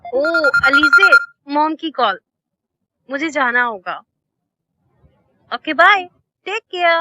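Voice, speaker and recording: A woman's voice: sits high, lively intonation, slow pace, pushed and bright, heavily acted. A woman acting a playful, coaxing young best friend in a light romantic drama. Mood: teasing. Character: chirpy, teasing young female best friend.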